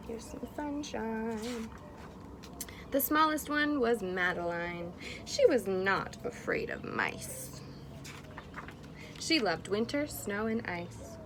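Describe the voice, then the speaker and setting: Slow-paced woman reading to young children. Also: a little high. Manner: expressive, encouraging, asks the children questions. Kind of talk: interactive picture-book read-aloud. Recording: clearly noisy background.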